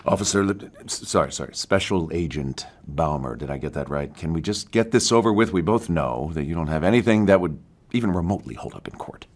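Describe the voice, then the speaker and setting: An actor playing a smug, evasive murder suspect in an audio drama, sardonic and mock-polite, sometimes stammering. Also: male voice, low-pitched, animated, a little fast, few pauses, slight background noise. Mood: defensive, condescending.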